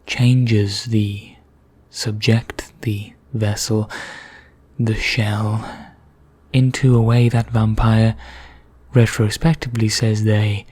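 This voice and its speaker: A man playing a vain, condescending vampire in an ASMR roleplay, speaking formally with measured pauses in a husky, breathy voice that nears a whisper. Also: lowish voice, little pitch movement, a little mellow. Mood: ominous, explanatory. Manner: slow, measured, formal, pompous, with deliberate pauses. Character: vain, condescending male vampire elder, calmly sinister.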